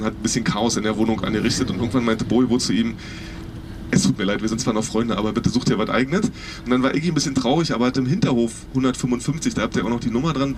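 A man giving chatty, slangy tour-guide commentary outdoors. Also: mid-range voice. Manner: colloquial, slangy storyteller. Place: outdoors on a city street. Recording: outdoor street noise. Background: street traffic.

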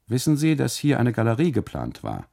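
A man's voice: mid-range voice, wildly swooping pitch, brisk, vocal fry, pitched above the performer's own voice, heavily acted. A man playing a boastful, aggrieved café custodian in a literary radio monologue, calling out emphatically and excusing himself. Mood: showy, explaining. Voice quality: loud, calling out. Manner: emphatic, self-justifying.

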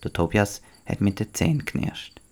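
Deep-voiced Swiss German male narrator. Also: animated, normal speed, a little mellow. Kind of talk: scripted children's story narration.

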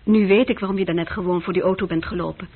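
A woman's voice: lowish voice, steady tone, rapid-fire, husky. An actress playing a direct, probing woman in conversation, in radio-drama style. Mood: probing, frank. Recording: dull, lo-fi old radio recording.

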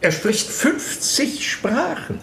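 An actor playing a fawning, stammering archbishop hosting a reception in a radio play. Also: male voice, extremely high pitch, sing-song, takes it slowly, vocal fry, a bit bright, roomy sound, a little hiss or hum, heavily acted. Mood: eager. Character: fawning middle-aged male archbishop host.

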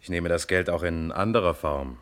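Low-voiced, commanding police inspector. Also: male voice, fairly bright tone. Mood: politely insistent. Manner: formal, measured, authoritative. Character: shrewd, commanding male police inspector with controlled courtesy. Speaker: actor in a crime radio play. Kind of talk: mid-century German radio crime drama acting.

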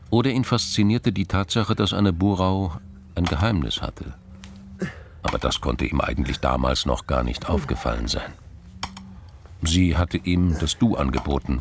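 A man narrating a scripted crime drama in a gravelly voice, measured and understated. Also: a bass voice, noisy background.

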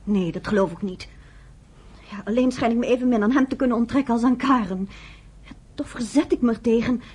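A woman's voice: fairly high pitch, a little fast, darkish tone, slightly rough. An actress in a Dutch radio play voicing a guarded, conflicted woman with a hesitant, searching manner. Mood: uncertain, troubled.

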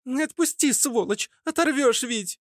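Man putting on a squeaky, cheeky feral girl. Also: expressive, unhurried, no room sound, clean studio sound. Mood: pained outrage. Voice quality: squeaky, shrill. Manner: snappy, hissing, insolent. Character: cheeky, feral teenage girl gunner, foul-mouthed and defiant.

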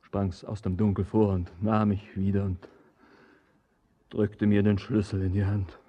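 Radio drama: tormented soul, low husky voice, halting and feverish, poetic declamation. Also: male voice, flat delivery, mellow, dull audio. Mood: haunted, hushed. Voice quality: husky, breathy. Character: haunted, tormented young man gripped by dread. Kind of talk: intense poetic German radio drama acting.

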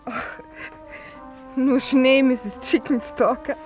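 A tender young sweetheart in a 1950s radio play. Her voice is extremely high and girlish, drawn out with feeling, over clear music. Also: fairly even intonation, slow pace, a little mellow. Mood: anxious, tender. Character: tender young poor woman in love, breathlessly joyful. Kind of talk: 1950s German radio drama acting.

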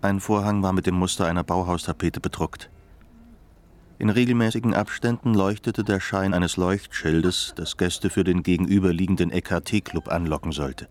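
An actor narrating a literary radio drama as a sombre storyteller of post-war Germany. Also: male voice, sits low, normal speed, darkish tone, natural voice. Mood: grave, measured.

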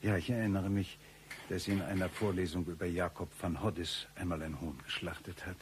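A man's voice: low-pitched, steady tone, fairly quick, slight background noise, close to an everyday voice. An actor playing a shady, eccentric former professor turned hitman, chatty and self-important, in a satirical radio play. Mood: cheeky, breezy.

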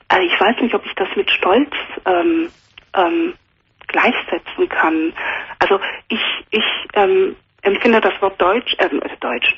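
A woman's voice: mid-range voice, breathy edge. A woman calling a radio show, talking hesitantly with many fillers but in an open, friendly way. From Cameroon. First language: German. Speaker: caller. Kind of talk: casual phone conversation. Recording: muffled phone line on radio.

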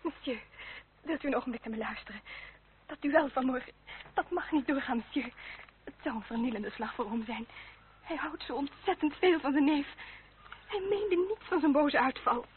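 An earnest young lady of the French nobility in a radio drama. She speaks in a high voice, politely but directly, with a pleading candour. The recording is thin and phone-like, with clear noise. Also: animated, normal speed, long pauses. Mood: earnest, urgent. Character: earnest, well-bred young French noblewoman, candid and pleading. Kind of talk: old radio play acting. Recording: tinny, noisy old radio recording.

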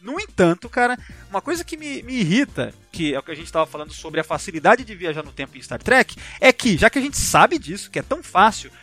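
Animated, high-voiced Brazilian man, bright tone. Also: fast, hardly any pauses, faint music behind. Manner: rambling, slangy, opinionated. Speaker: podcast host. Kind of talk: casual chat.

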